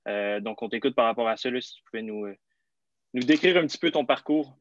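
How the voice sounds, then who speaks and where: A man's voice: medium pitch, fairly quick, no room sound. Québécois male podcast host, filler-heavy, dull dry audio. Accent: Québécois French. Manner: friendly, lots of fillers. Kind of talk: casual podcast interview. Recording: slightly dull lo-fi sound.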